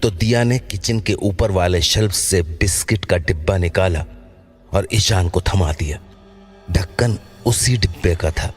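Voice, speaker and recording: A man with a very low-pitched voice narrates a scripted horror story. Clear background music plays behind his storytelling. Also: a little slow.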